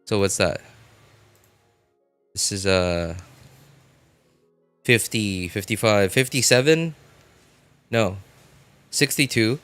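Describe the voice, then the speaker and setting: Man, low voice, game livestreamer, casual viewer chat, halting thinking aloud, music behind. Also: fairly bright tone, dry recording. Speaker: game live streamer.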